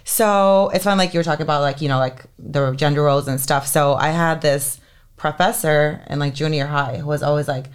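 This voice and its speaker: A woman's voice: low-pitched, fairly even intonation, fairly quick, clean tone. Chatty woman from Finland, full of fillers. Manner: chatty, heavy use of "like" and "um" fillers. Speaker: entertainment lawyer, podcast guest. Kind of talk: casual interview chat.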